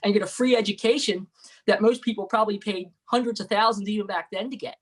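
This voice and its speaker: Fast-talking man, earnest storyteller. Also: high voice, steady tone. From United States. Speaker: guest investor. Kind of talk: storytelling interview answer.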